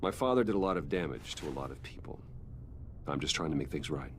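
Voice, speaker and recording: An actor playing a guarded, courteous wealthy businessman in a video game, answering questions in a measured, defensive manner. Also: rumbling low, expressive, slight background noise. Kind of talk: cinematic video game dialogue. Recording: music behind the voice.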